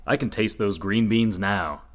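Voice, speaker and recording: An actor playing an earnest, dim young reporter in a comedic radio play, delivering lines in a deadpan, earnest manner. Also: male voice, lowish voice, unhurried, lightly acted.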